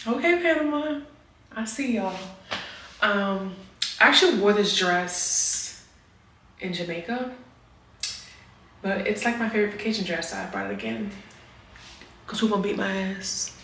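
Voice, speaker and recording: A woman in her thirties chatting to camera as a travel vlogger, sassy and animated, talking straight to her viewers from a hotel bathroom. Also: mid-range voice, a little slow, pauses often, echoey room, far from the mic, slight background noise, rough recording. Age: 30s. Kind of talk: casual chat to camera.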